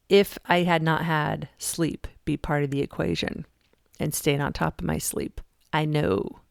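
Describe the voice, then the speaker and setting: A woman's voice: low-pitched, gravelly, no echo, clean studio sound. A middle-aged woman hosting a fitness podcast, talking in a chatty, emphatic way and laughing often. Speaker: podcast host and fitness coach. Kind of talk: casual motivational talk.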